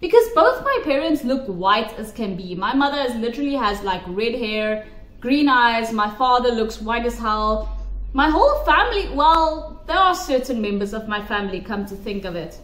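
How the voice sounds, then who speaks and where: A woman's voice: fairly high pitch, sing-song, moderate pace, a bit bright. A woman giving emphatic, opinionated commentary to camera, repeating her points for stress. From South Africa. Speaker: pageant commentary vlogger. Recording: roomy echo.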